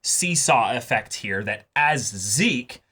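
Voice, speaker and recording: Bright-voiced man talking analytically. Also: medium pitch, lively intonation, takes it slowly, breathy edge. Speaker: co-host of an anime reaction show. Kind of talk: casual analytical discussion.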